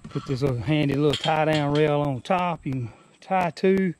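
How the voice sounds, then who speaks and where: A man's voice: fairly high pitch, normal speed, a little mellow, a little hiss or hum. A man in his forties with a Southern US accent, casually showing off a rebuilt trailer outdoors on a farm, rambling and restarting his sentences as he goes, recorded on a handheld action camera. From Alabama. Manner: folksy, rambling, stops and restarts mid-sentence. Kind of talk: casual walkaround demonstration. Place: outdoors on a rural farm lawn. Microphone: action camera held or worn, sometimes set down.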